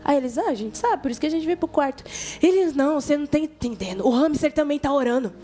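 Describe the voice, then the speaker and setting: Female youth preacher, Brazilian accent, high-pitched, chatty storytelling delivery, faint music underneath. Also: animated, fast talker, mellow. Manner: colloquial, conversational, addresses listeners directly, acts out dialogue. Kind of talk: informal youth sermon with storytelling.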